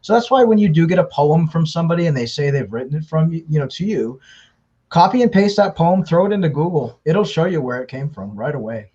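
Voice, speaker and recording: A man's voice: fairly high pitch, expressive, fairly quick, mellow, breathy edge. A man speaking as an interview guest, explaining things conversationally at length with fillers and restarts. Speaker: interview guest, scam baiter. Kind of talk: casual interview talk. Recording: dull lo-fi audio.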